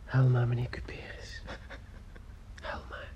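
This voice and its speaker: An actor in a radio drama playing a caring but sometimes gruff man who speaks in short, plain, repeated phrases. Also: medium pitch, completely flat delivery, a little slow, in a whisper, highs cut off, close to an everyday voice. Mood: soothing. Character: caring man, gruff when hurried, soothing a drunk old man.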